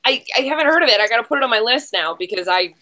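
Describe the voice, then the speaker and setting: A woman's voice: a little high, lively intonation, racing, sharp tone. A woman hosting a casual livestream game show in a chatty, gushing way, rambling and interrupting herself with slangy asides.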